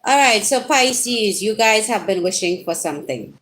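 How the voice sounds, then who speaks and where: A woman's voice: medium pitch, lively intonation, normal speed, a bit bright, a little hiss or hum. Chatty Trinidadian woman reading tarot. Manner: chatty, teasing viewers directly, rising exclamations. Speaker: tarot reader. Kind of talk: live-stream tarot reading, casual.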